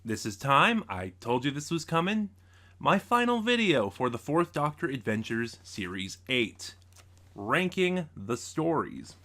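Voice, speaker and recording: A man's voice: medium pitch, big pitch swings, measured pace, sharp tone. A man hosting a casual review video, speaking in a conversational, opinionated way straight to the audience. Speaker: video reviewer and channel host.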